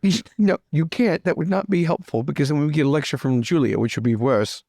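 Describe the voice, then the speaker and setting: A man's voice: on the high side, fast talker, gravelly, darkish tone, no echo, studio quality. A man voicing a fussy, self-satisfied young lord with a dramatic, exasperated manner in a tabletop game.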